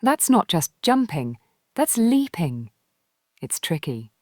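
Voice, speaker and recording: A woman voicing a big, wise male storybook bear in a gentle, patient, reassuring manner. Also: lowish voice, very animated, slow pace, dry recording, clean studio sound, exaggerated voice. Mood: gentle warning.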